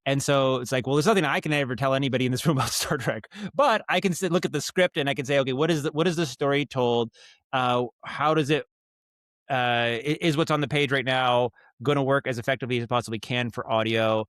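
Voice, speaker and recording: A man with a smooth, clear voice in a dry, close recording. He talks casually as an interview guest, working out his ideas as he goes and often doubling back mid-sentence. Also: mid-range voice, fairly even intonation, fairly bright tone, no echo. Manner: halting, thinking aloud, circling back on thoughts. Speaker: podcast guest, writer and director of audio dramas.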